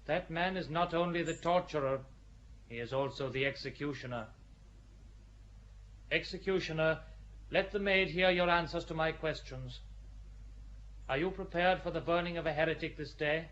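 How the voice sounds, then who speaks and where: A man's voice: on the high side, normal speed, pauses often, fairly bright tone, dull audio, lightly acted. A man playing an earnest, pitying churchman in an audio drama, speaking in a solemn, persuasive manner. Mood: solemn warning. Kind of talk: audio drama acting of a stage play.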